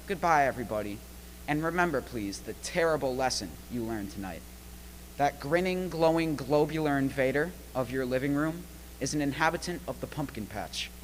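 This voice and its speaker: A man's voice: mid-range voice, lightly acted, low-quality recording. A man acting a wry, theatrical old-time radio showman, addressing listeners in a playful, mock-grand way. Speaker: actor in a school radio play. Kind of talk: 1940s-style radio host address. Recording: faint hum and noise, live stream.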